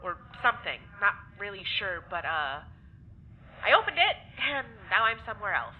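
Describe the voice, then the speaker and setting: A woman's voice: mid-range voice, very animated, normal speed, natural voice. An actress playing a sarcastic, abrasive and unrepentant woman in an audio drama, delivered dryly and bluntly. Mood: tentative. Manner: dry, sardonic, blunt. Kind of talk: naturalistic audio drama acting. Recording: muffled lo-fi sound with clear noise.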